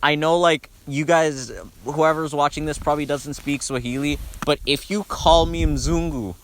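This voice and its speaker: A man's voice: a little high, steady tone, normal speed, a little hiss or hum, low-quality recording. A young man talking casually and bluntly about songs as a video host, swearing freely.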